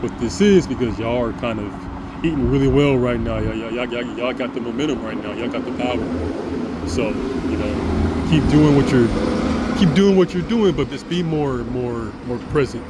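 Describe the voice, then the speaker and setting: A man with a deep voice speaks in an earnest, rambling vlog monologue. He restarts sentences and stammers often. Loud traffic and air-conditioning noise hum behind him throughout. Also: normal speed. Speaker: vlogger. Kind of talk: casual monologue to camera.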